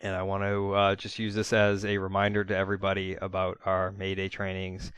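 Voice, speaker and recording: Low, even-toned man giving commentary. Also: brisk, clean tone, no room sound. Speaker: fire-rescue commentator and trainer. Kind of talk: informal spoken commentary and incident debrief.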